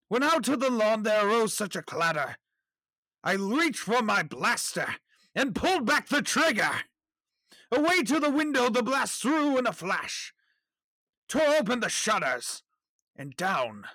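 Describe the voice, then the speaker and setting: A man playing a theatrical father in an audio drama, telling children a rhyming holiday tale in a grand, drawn-out manner. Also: sits high, bright, forceful tone, dry recording, heavily acted. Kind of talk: storytelling in rhyme, audio drama.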